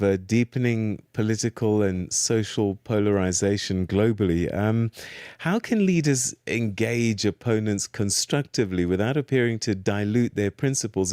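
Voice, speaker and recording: Low-voiced man interviewer, dry sound. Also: a little mellow, no echo. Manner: formal, deliberate questioning. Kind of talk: broadcast interview questions.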